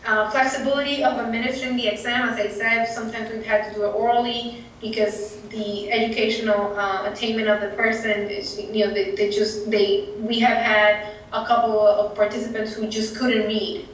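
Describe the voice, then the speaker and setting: A woman from Puerto Rico with a thick Puerto Rican Spanish accent, explaining a training course at a conference in an earnest, halting way with many fillers. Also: fairly high pitch, flat delivery, slight background noise. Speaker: presenter. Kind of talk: conference presentation. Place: conference room. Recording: echoey room, distant mic.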